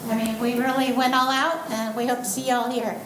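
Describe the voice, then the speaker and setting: A woman's voice: on the high side, level tone, brisk. Woman announcing from an echoey room. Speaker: veterans auxiliary representative. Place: city council chamber. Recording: echoey chamber, distant mic, background noise.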